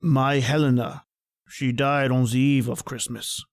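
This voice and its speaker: A man's voice: mid-range voice, steady tone, unhurried, dry recording, studio quality, lightly acted. An actor playing a curt, secretive old father with a German accent in an old-time radio drama. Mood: grave, curt. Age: older.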